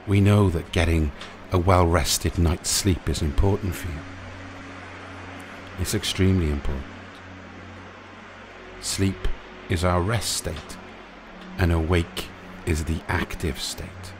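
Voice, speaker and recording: A man narrating a guided sleep meditation in a soothing, gentle, unhurried way, leaving pauses between short phrases. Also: sits low, fairly even intonation, noisy background. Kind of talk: guided sleep meditation, hypnotic relaxation countdown. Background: music.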